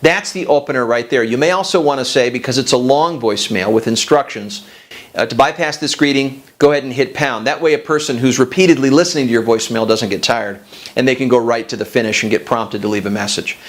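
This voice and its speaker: A man's voice: medium pitch, quick pace. Male coaching host, mid-range voice, directive and instructional, speaking straight to the viewer. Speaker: host of a business coaching show.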